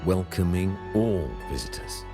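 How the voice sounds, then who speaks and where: An actor playing a solemn, pious religious leader in a fantasy video game, speaking in grave, formal, sanctimonious oratory. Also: male voice, sits low, unhurried. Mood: preaching, resolute. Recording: loud music behind the voice. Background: loud game music.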